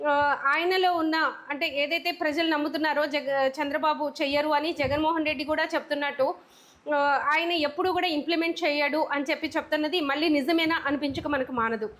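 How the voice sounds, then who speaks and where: A woman's voice: high voice, fairly even intonation, a little fast, a bit bright. A woman presenting political news commentary in a wordy, explanatory way that circles back over phrases.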